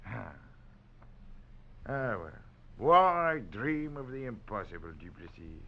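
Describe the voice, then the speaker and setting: On a muffled 1940s radio drama, a world-weary French detective who hunts men for sport. His smooth, theatrical taunts rise and fall widely in pitch around a middling male register. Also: sing-song, takes it slowly. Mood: dismissive. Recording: muffled old radio recording.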